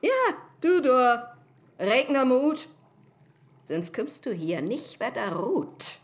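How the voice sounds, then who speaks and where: A muddled witch in a comic radio play. She chants and mutters slowly in Low German rhyme, bossy and theatrical, with a very animated melody. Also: exaggerated voice. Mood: bossy, coaxing. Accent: Low German, northern Germany.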